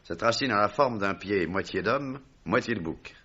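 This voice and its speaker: A man playing a grave, proud explorer haunted by lost love in a French radio drama, with a gruff, weighty, formal delivery. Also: lowish voice, expressive, normal speed, pushed and bright, heavily acted. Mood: reserved, explanatory. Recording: dull, lo-fi old radio recording.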